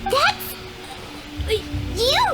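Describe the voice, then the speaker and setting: A woman voicing a tiny, excitable and blunt childlike female companion in an anime video game, squeaky and exclamatory. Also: drawn-out words, over music, heavily acted. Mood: surprised, puzzled. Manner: squeaky, exclamatory, refers to self in third person.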